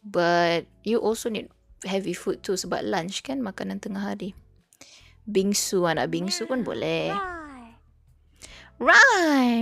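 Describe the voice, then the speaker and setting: Young woman, malaysian accent, casual filler-heavy chat, mid pitch, dry close sound, soft music behind. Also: gravelly, no room sound. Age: young adult. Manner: casual, mixes English and Malay, many "like" fillers. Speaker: VTuber streamer studying. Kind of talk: casual study stream chat, reading notes aloud.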